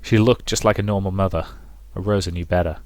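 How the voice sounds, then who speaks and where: Low-pitched man narrating a story. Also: steady tone, quick pace, darkish tone. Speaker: let's play narrator. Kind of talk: dramatic scripted story narration.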